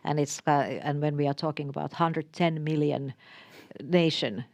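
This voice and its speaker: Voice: mid-range voice, fairly even intonation, moderate pace, gravelly, a little mellow, no echo. An interviewer in a conversation, listening attentively and giving frequent murmured agreement.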